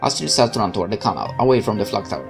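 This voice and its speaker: A woman's voice: extremely low pitch, expressive, rapid-fire, music in the background. A woman reading a game's story aloud and adding casual commentary as she plays. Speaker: game player narrating a playthrough.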